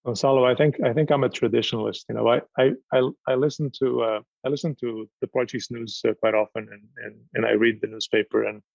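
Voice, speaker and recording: A man's voice: mid-range voice, fairly even intonation, fast talker, gravelly, hardly any pauses, a little mellow, no echo. A man on a conference panel who talks with passion and often stammers and repeats words. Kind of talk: panel discussion. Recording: dull, dry, lo-fi sound.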